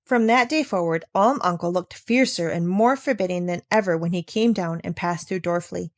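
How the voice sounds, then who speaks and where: A woman's voice: lowish voice, moderate pace, no echo. Woman reading a storybook, close dry sound. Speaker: audiobook reader. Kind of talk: expressive scripted storybook narration.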